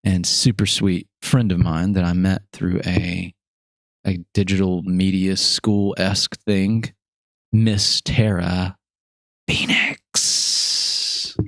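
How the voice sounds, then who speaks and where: Man, deep voice, podcast host, upbeat, rambling, dry close sound. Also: level tone, slow pace, gravelly, a bit bright, no echo. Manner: rambling, self-correcting, upbeat. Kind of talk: casual interview chat.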